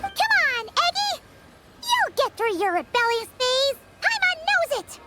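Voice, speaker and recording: Tiny excitable video game fairy sidekick, squeaky kiddie voice, super swoopy, blurts stuff out. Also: female voice, measured pace, faint music behind, exaggerated voice. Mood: excited. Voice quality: squeaky, childlike. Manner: exclamatory, blunt. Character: Paimon, small childlike excitable floating fairy companion.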